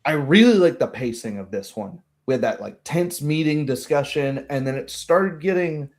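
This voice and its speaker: A man's voice: on the high side, expressive, moderate pace, darkish tone. A man chatting casually as a game master, reflective and given to quoting his own thoughts aloud. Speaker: game master hosting a post-game discussion. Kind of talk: casual chat.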